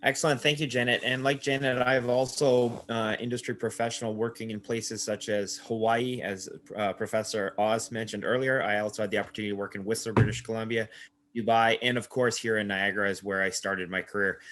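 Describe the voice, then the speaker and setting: A man's voice: fairly low pitch, steady tone, brisk, a bit bright. A man, a program coordinator, emceeing the session with upbeat introductions. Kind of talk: emceeing online info session.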